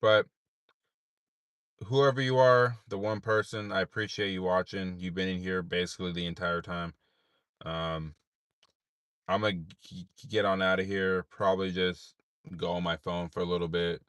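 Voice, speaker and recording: Deep-voiced man, very low pitch, dry close sound, sleepless late-night rambling in his car. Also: level tone, moderate pace, fairly bright tone, clear, resonant tone, no echo. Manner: rambling, laid-back, many fillers. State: tired, unable to sleep. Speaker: streamer. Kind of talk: late-night livestream chat.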